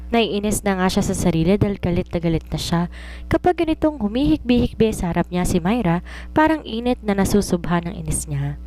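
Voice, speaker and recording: A woman's voice: medium pitch, big pitch swings, fairly quick, mellow, low-quality recording. A woman reading a scripted romance story as its narrator.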